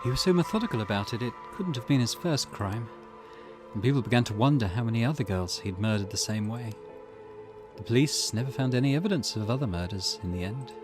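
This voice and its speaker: A man voicing a reflective woman in a visual novel, telling a grim tale in a measured, wistful way over music. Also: medium pitch, lightly acted. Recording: loud music behind voice.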